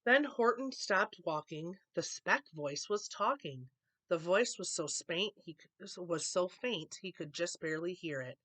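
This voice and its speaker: A woman's voice: on the low side, expressive, unhurried, a bit bright, no echo. A woman storyteller reading a children's picture book aloud expressively.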